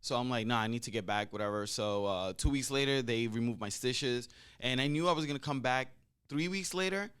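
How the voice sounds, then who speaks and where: A man's voice: mid-range voice, fairly quick, a bit bright, clean tone. A young man hosting a podcast in a tattoo shop, chatting loosely and swearing freely, holding a handheld mic. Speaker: podcast host, tattoo artist. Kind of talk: casual chat.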